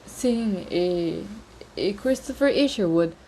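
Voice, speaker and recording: A woman's voice: medium pitch, animated, dark tone, a little hiss or hum, poor audio. A woman with a Brazilian accent voicing a nervous, earnest, bookish schoolboy in a story, with hesitant speech. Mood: anxious, brave. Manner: hesitant, halting.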